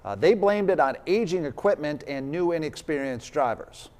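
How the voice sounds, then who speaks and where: A man's voice: on the high side, animated, normal speed, a little mellow, long reverb tail. An American man presenting the news as a television anchor.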